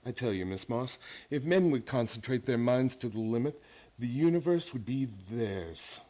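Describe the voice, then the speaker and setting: A man's voice: medium pitch, animated, normal speed, mellow, close to an everyday voice. Actor as an intense young male teacher, lecturing. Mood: earnest, lecturing. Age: young adult. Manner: earnest, lecturing, formal. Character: intense, idealistic young male psychology teacher obsessed with mind power. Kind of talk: radio drama acting.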